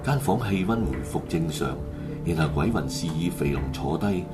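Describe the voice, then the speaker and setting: Deep-voiced Hong Kong Cantonese man narrating drama. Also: lively intonation, moderate pace. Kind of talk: scripted radio drama storytelling narration. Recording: loud music bed under the voice. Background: music.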